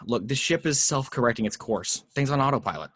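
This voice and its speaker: A man's voice: mid-range voice, expressive, brisk, a bit bright, slightly rough, no echo. A man playing a stubborn, weary, sardonic superhero in an amateur script read, with a dry conversational delivery. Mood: tense, stubborn.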